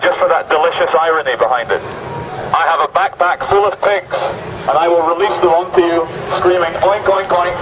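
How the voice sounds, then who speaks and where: A man with a fairly high voice blares mock-official announcements through a megaphone. He stays deadpan as a street performer, with clear outdoor noise and vehicles behind him. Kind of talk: mock public announcements to passers-by. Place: outdoors on a busy city street.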